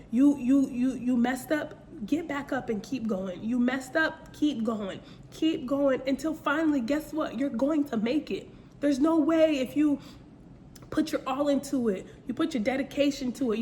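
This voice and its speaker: A young woman with a somewhat high voice gives a casual faith-based pep talk straight to her listeners. She circles back on her points, repeats phrases and fires rhetorical questions. A faint hiss sits under the recording. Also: a little mellow. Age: young adult. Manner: earnest, repetitive, direct address with rhetorical questions. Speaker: motivational speaker.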